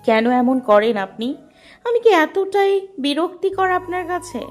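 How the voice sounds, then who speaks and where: An actress playing a timid, soft-hearted young woman in an audio love story, speaking hesitantly. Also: extremely high pitch, animated, a little slow. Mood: tearful, hurt. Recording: background music under the voice.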